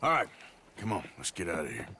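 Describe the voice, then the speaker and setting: An actor playing a gruff, sardonic outlaw gunslinger in a Western video game, talking in a dry drawl. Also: male voice, low voice, huge pitch swings, quick pace, bright, forceful tone, slight background noise, full character voice. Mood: wry. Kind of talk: video game cutscene acting, Western drama.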